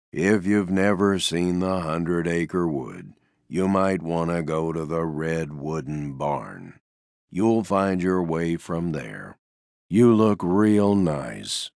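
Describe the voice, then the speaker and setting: A man voicing a gloomy, mild-mannered toy donkey for a video game, with a deep, gravelly voice and a glum, resigned, self-deprecating drawl. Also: dry recording, studio quality, exaggerated voice. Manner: slow, glum, resigned drawl with downcast understatement. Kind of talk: video game character voice lines.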